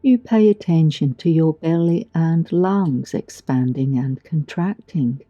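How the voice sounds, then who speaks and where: Female meditation guide, lowish pitch, soothing and unhurried, gentle pauses, dull dry recording over music. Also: no echo. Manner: soothing, gentle, deliberate delivery with long pauses. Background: background music.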